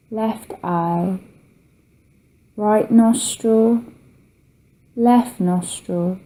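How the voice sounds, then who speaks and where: A woman leads a guided relaxation with a deeply relaxed, dark and mellow tone at a medium pitch. She speaks slowly and gently, leaving long pauses between phrases. Her delivery is soothing and unhurried, that of a yoga nidra teacher. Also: low-quality recording.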